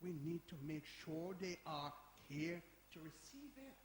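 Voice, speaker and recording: High voiced older guy actor doing him, principled and worked up, stumbles over words, stage play. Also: big pitch swings, measured pace, slight background noise. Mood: cautious, firm. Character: principled, indignant older man defending a youth community centre. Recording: echoey live hall performance.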